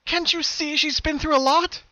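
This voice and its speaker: Man as a storybook pony mom, high-pitched, indignant, emphatic, fiercely protective. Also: fairly even intonation, moderate pace, pushed and bright, no room sound, lo-fi sound. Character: protective, upset pony mother, adult female.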